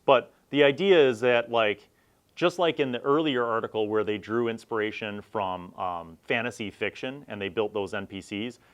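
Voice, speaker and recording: Low-pitched middle-aged man, casual reviewer. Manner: rambling, conversational. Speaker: host of a video series reviewing old roleplaying magazines.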